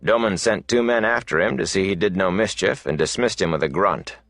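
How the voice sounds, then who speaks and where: A man narrating a fantasy novel as an audiobook, in a measured, dramatic storytelling manner. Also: sits low, a bit bright, dry recording, clean studio sound.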